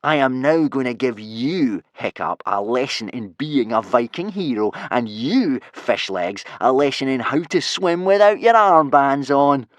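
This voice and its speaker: A man voicing a burly, gloating teenage Viking bully with a sneering, drawn-out taunting delivery in a storybook. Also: mid-range voice, animated, no echo, pitched above the performer's own voice, natural voice. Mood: gloating menace.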